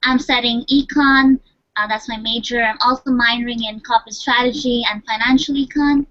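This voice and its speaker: A woman's voice: on the high side, fairly even intonation, normal speed, fairly bright tone, dry recording. A young woman from India, a university student on a panel, talking in an upbeat, chatty way. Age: young adult. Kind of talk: casual panel talk. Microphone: video call. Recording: dry, dull video call audio.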